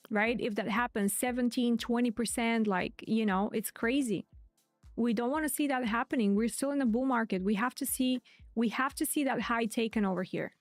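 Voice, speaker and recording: A woman's voice: fairly high pitch, no echo. A woman host giving live stream market commentary in a chatty way, repeating her key points. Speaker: crypto trading streamer.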